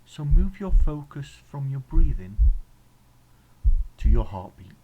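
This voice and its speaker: A man with an English accent leading a guided meditation in a gentle, soothing, instructional way, leaving pauses between phrases. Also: medium pitch, very animated, dull audio, a little hiss or hum. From England.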